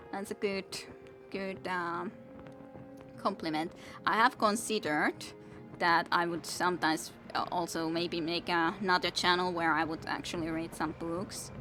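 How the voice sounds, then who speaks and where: A young woman streaming a game and chatting with viewers in a soft, musing way; English is not her first language and her voice is worn out from long reading. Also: fairly low pitch. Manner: soft, musing, self-talking. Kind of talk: casual gameplay chat with viewers. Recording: game music in background.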